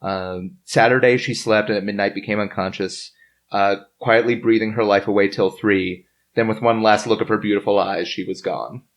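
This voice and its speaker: A man hosting a podcast, telling history from notes in a casual, halting way among the group. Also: lowish voice, fairly even intonation, lo-fi sound. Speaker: podcast host.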